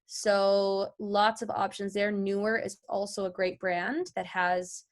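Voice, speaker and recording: A woman's voice: medium pitch, steady tone, slow. A woman giving a chatty, encouraging, informal lesson as a voice actor teaching an online class over a video call from a home office. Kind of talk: casual instructional talk. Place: at home, large office with wooden walls. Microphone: computer video call.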